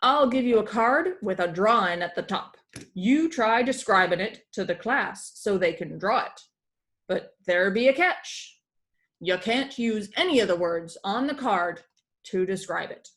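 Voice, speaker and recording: A woman's voice: mid-range voice, lively intonation, measured pace, a bit bright. A hearty old walrus captain, played by a woman teaches a class in salty pirate phrasing. He is a storybook schoolmaster of the seafaring kind, explaining things with gusto. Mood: hearty, instructive.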